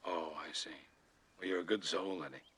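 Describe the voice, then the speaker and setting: An actor playing a gentle, patient father of a young boy in a television sitcom, explaining things with affection and dry humor. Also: sits low, fairly even intonation, moderate pace, husky, heavily acted. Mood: warm, affectionate. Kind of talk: naturalistic television sitcom acting. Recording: noisy old TV soundtrack.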